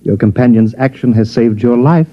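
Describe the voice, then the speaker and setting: An actor playing a polite, smugly threatening villain in a 1950s radio drama, speaking with formal mock courtesy. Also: male voice, medium pitch, moderate pace, natural voice. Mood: smooth menace. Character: suave, menacing male villain, mock-courteous wealthy village merchant. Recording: dull lo-fi vintage radio.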